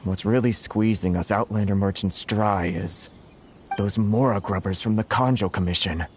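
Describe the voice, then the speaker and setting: A man's voice: fairly low pitch, moderate pace, darkish tone, slight background noise, natural voice. A man playing a weary, aggrieved foreign merchant in a video game, grumbling with emphasis. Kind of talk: video game dialogue acting.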